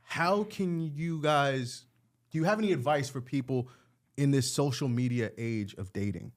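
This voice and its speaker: A man hosting a podcast in casual interview chat, quick to back up his guests and ask questions. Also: medium pitch. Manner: supportive, quick to affirm, asks questions. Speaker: podcast host.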